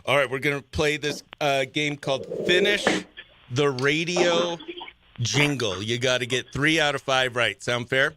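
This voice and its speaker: A man's voice: medium pitch, measured pace, very noisy. A man hosting a radio morning show, chatting casually and upbeat with informal slang as he runs a contest. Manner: informal slangy, exclaims dude and oh heck. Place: small radio studio, close dry mic.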